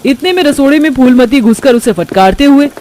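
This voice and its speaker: A woman's voice: high-pitched, expressive, brisk, dark tone. A woman storyteller reading scripted narration of a moral tale.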